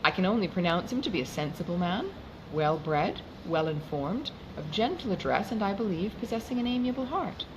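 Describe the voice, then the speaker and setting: A woman voicing a sensible, composed young gentlewoman from a period novel, reproving gently in careful formal speech. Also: on the low side, expressive, moderate pace, lightly acted. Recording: clearly noisy background.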